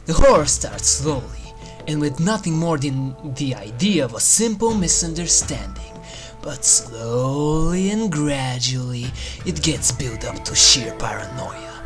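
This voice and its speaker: Review host, medium-pitched voice, scripted narration with theatrical, drawn-out delivery and dry wit, over prominent eerie music. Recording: music under the voice. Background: eerie game soundtrack music.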